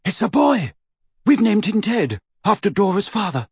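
A man's voice: medium pitch, very animated, unhurried, breathy edge, dry recording. A man voicing a weary middle-aged male wizard in an audiobook, with emphatic, formal declarations. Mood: overjoyed. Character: frightened then overjoyed weary middle-aged male wizard werewolf friend.

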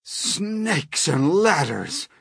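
A man's voice: a little high, lively intonation, moderate pace, a bit bright, no echo, clean studio sound, voice pushed up. A man voicing a flustered, easily shocked fire chief in a storybook, exclaiming and yelping. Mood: panicked yelling.

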